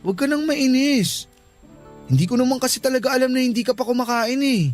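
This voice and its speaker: An earnest, high-voiced boyfriend. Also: male voice, brisk, faint music behind. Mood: placating. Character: patient but suspicious boyfriend, hurt and pleading. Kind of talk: Filipino radio drama acting.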